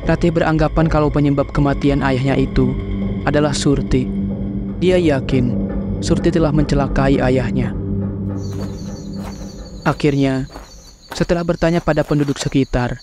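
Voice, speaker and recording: A man's voice: on the high side, steady tone, moderate pace, pauses often. Man narrating a cartoon horror story. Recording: clear music under narration.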